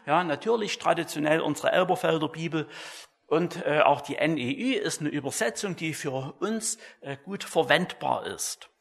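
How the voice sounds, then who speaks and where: A man delivers an explanatory church lecture. His voice sits a little high for a man and carries a strong creak. He speaks deliberately and didactically, like a teacher setting out points for his congregation. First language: German. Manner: deliberate, didactic, often adding "ja" as a tag.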